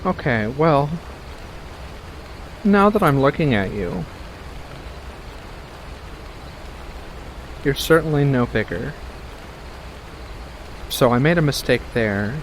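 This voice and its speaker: An ASMR performer voicing a doting, playful, sweetly possessive witch in a soft-spoken roleplay, cooing and coaxing with hums and pet names, over a noisy background. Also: mid-range voice, lively intonation, a little slow, long pauses, close to an everyday voice. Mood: puzzled, musing.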